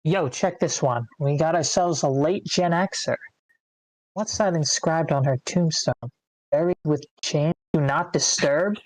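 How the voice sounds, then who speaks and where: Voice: medium pitch, measured pace, dry recording, lightly acted, low-quality recording. A performer reading a brash eighteen-year-old male content creator in an amateur screenplay table read. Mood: cocky.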